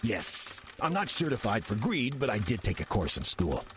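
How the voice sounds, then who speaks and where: An actor playing a slothful demon posing as a friendly churchgoer, casual, sardonic and persuasive, with a rough, husky voice in an audio drama. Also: male voice, lowish voice, very animated, normal speed, background noise. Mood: dry, boastful.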